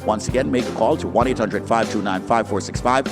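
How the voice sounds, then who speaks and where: A man's voice: lowish voice, fairly quick. A man announcing a scripted legal disclaimer and ad read. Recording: reverberant voice-over over music.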